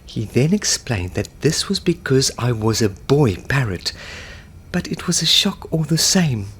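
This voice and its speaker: A man voicing a wistful, doting parrot puppet in an earnest comic monologue, with a South African accent and a husky voice. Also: medium pitch, big pitch swings, a little slow, slight background noise. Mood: wistful, confiding.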